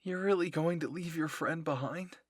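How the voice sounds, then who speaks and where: A man's voice: fairly high pitch, moderate pace, no echo, studio quality, pitched above the performer's own voice, natural voice. A man voicing an intense young male soldier who taunts in a raised, pointed way for a story. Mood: taunting.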